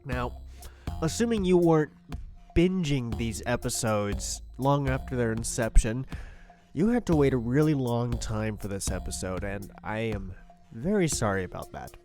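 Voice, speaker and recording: A man's voice: medium pitch, a little mellow. A man in his twenties with an American accent, chatting casually to listeners as a podcast host, rambling and self-deprecating. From California, United States. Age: 20s.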